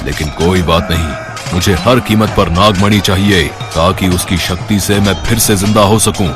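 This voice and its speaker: A man's voice: low voice, steady tone, normal speed, over music, close to an everyday voice. A man voicing an evil, vengeful sorcerer spirit and father in a dramatic story, taunting and domineering as he threatens. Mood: threatening. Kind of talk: dramatic Hindi storybook voice acting.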